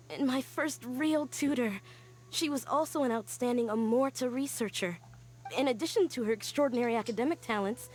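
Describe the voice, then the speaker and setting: A woman voicing an earnest young woman scholar in a game, telling her story quietly and sighing often. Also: sits high, lightly acted. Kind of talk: game cutscene voice acting.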